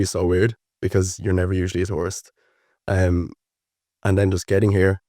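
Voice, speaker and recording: A young man with an Irish accent talks easily as a podcast guest, wandering through his answers with a steady stream of fillers. His voice sits very low, and the sound is dry and close. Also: no echo. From Ireland. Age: 20s. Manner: relaxed, rambling with frequent fillers. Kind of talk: casual interview chat.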